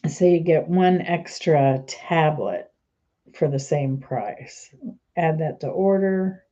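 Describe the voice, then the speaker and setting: Woman host, stuffy and congested, casual rambling chat, low voice, dull lo-fi recording. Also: slow pace, dark tone. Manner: chatty, rambling, trails off mid-sentence. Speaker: channel host.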